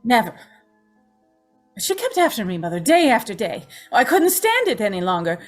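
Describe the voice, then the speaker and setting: An actress playing a frightened, gentle young woman, tormented and pleading, in old-time radio horror style. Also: fairly high pitch, huge pitch swings, normal speed, soft background music. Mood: fearful, weary. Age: young adult. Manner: pleading, tremulous, dramatic.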